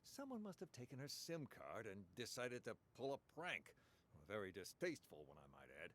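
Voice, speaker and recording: Wildly swooping, testy theatre manager. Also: male voice, medium pitch, huge pitch swings, normal speed, a bit bright. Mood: dismissive, indignant. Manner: emphatic, dismissive, exclamatory. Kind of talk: interactive radio drama acting.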